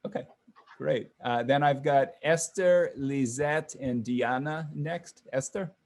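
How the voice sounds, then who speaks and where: A man with an ordinary mid-range voice leads a video call, calling names in a relaxed, casual way. He fills gaps with ums and short okays. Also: medium pitch, slow. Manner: relaxed, friendly, lots of fillers. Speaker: host of online class session.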